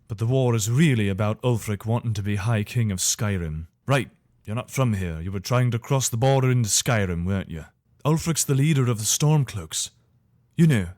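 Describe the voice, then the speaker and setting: A man's voice: medium pitch, darkish tone, dry recording, clean studio sound, natural voice. A man playing a loyal, weary imperial soldier in a fantasy video game, speaking in an earnest, conversational, soldierly way. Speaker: voice actor for a game character. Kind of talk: video game character dialogue.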